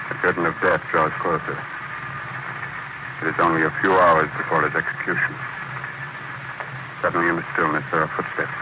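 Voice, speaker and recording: A man's voice: deep voice, background noise, close to an everyday voice. A man narrating a 1940s radio drama in a solemn, ominous, dramatic manner. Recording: old radio recording, loud music behind. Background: music.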